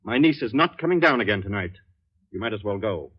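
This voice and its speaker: An actor playing a middle-aged guardian uncle, formal, condescending and quietly menacing, in 1940s radio drama style. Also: male voice, lowish voice, expressive, a little fast, slightly rough. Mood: threatening. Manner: formal, condescending, coolly polite. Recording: dull 1940s radio recording.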